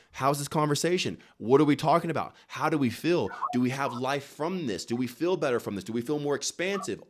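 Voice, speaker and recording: A man speaks as a guest in a conversational interview, in a mid-pitched voice. He drives his points home emphatically, repeating key phrases, acting out little quotes and asking rhetorical questions like a motivational speaker. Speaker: interview guest, wellness guide creator. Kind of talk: animated motivational talk in conversation.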